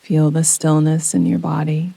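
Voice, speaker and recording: A woman gently guiding a yoga nidra relaxation meditation in a soothing, unhurried manner with long pauses. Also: low voice, level tone, creaky, slightly rough, no room sound, poor audio. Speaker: meditation guide. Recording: close dry voice over rain sounds. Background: soft rain sounds.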